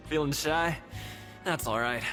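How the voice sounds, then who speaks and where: A boisterous video game optimist. His slightly high voice swings widely, hearty and full of encouragement, with soft music underneath. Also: exaggerated voice. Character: exuberant, hearty male pep-talking optimist.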